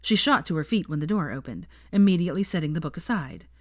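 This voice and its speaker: A woman's voice: low voice, animated, quick pace, dry recording, studio quality. A woman narrating a story from a script in an audiobook style. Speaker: audiobook-style fan fiction reader.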